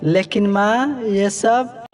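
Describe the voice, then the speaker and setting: Mild, high-voiced young village husband, acted by a man. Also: fairly even intonation, extremely slow, darkish tone, soft background music. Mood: hesitant. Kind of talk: Hindi cartoon moral story dialogue.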